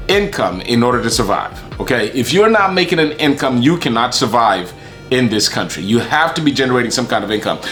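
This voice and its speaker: A man's voice: medium pitch, a bit bright. A man from Kenya giving a casual, conversational monologue as host of his channel, often repeating words and restarting sentences. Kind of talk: casual talking-head monologue.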